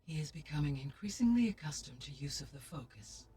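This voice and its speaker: An actor voicing a calm, formal artificial intelligence in a video game, speaking in a precise, measured way. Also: male voice, fairly high pitch, breathy edge, far from the mic, soft background music, heavily acted.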